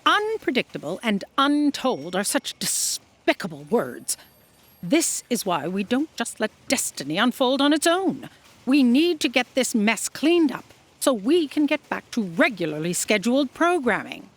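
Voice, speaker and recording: A woman's voice: fairly high pitch, very animated, a little slow, heavily acted. Imperious woman boss with swooping voice, acted. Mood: disdainful. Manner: bossy, haughty, pompous. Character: imperious, scornful female chief editor of destiny. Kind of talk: comic sci-fi audio drama.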